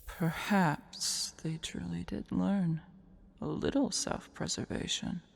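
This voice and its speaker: A woman's voice: fairly low pitch, takes it slowly, full character voice. A woman voicing a haughty female dragon brooding over her hoard in an ASMR roleplay, half-whispering in an imperious mutter. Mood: disdainful, brooding. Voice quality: breathy, half-whispered, creaky.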